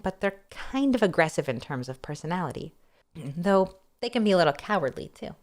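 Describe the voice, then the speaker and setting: A woman with a fairly low voice reads a story aloud as narrator. She is expressive and wry, slipping into playful, casual asides as she goes. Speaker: streamer reading a novel aloud.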